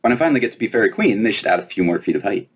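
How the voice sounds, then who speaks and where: A man's voice: lowish voice, very animated, very fast, breathy edge, pitched above the performer's own voice. A man voicing a smug, self-important young fairy princess with a flippant manner in a storybook reading. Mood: boastful.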